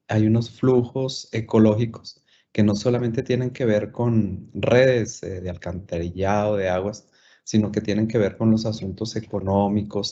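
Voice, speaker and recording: A low-voiced man moderates an online talk, passing audience questions to the speaker and rephrasing them at length. His tone is dark and mellow, and the call audio is dull and lo-fi. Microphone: video call.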